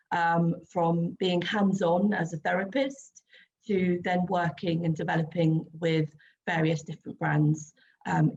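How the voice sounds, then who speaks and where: A woman in her forties, croaky from a sinus issue, chatting casually with many ums as she hosts a webinar over a video call. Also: fairly low pitch, a little mellow. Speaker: skin expert and trainer hosting a webinar. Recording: dull lo-fi video call audio with faint noise.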